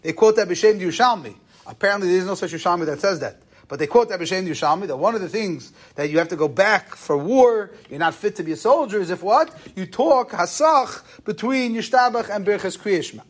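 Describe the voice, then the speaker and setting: A man's voice: high-pitched, lively intonation, brisk. A man gives an informal lecture on religious texts. He reasons aloud in questions and answers, dropping Hebrew terms into his sentences as he goes. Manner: question-and-answer explaining, Yeshivish phrasing with Hebrew terms mixed in. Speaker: rabbi giving a lecture.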